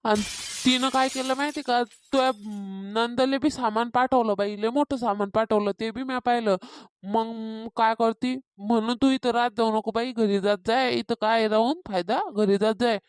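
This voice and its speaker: A woman's voice: on the high side, steady tone, moderate pace, smooth, no room sound, clean studio sound, natural voice. A woman voicing a meddling, insistent older village gossip in a cartoon comedy, with a rural Maharashtrian accent. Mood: conspiratorial. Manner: insistent, tattling. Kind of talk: Marathi cartoon comedy dialogue.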